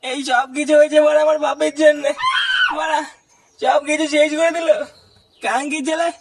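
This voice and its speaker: A man voicing an excitable, crude village sidekick in a comedy cartoon, whiny and profane. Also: very high-pitched, normal speed, creaky, shouting, a little hiss or hum. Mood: drunken despair. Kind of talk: exaggerated cartoon dubbing.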